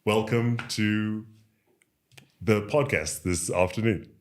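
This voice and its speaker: A man's voice: on the low side, slow pace. A man who hosts a podcast, delivering a polished introduction and interviewing his guest. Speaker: podcast host.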